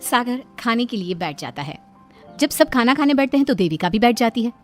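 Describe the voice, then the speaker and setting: A woman's voice: on the high side, expressive, brisk, faint music behind. A woman reading out a scripted moral story as its narrator.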